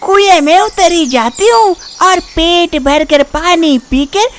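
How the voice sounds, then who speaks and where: A woman's voice: very high voice, slow, a little hiss or hum, pitched above the performer's own voice. A woman voicing a cunning, scheming female fox in a children's cartoon story, with theatrical delivery. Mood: worried.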